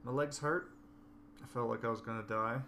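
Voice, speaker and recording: A man with a raspy, hoarse voice chatting casually and dryly. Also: mid-range voice, steady tone, moderate pace, sharp tone, a little hiss or hum. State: hoarse from coughing. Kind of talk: casual chat.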